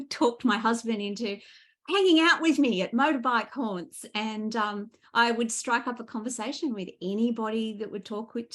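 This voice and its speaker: Australian female guest author, conversational and keen, medium-pitched voice, natural storytelling delivery. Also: fairly bright tone. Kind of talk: casual interview chat.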